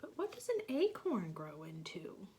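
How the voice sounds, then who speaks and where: A woman reading a picture book aloud to young children, gently asking them questions and sometimes dropping to a soft whisper. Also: on the low side, huge pitch swings, unhurried, a little hiss or hum.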